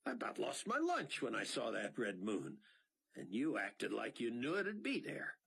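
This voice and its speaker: A man's voice: medium pitch, huge pitch swings, moderate pace, creaky, a bit bright, breathy edge, voice pushed up, heavily acted. A man voicing a blunt, plainspoken middle-aged pilot with folksy talk. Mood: bewildered.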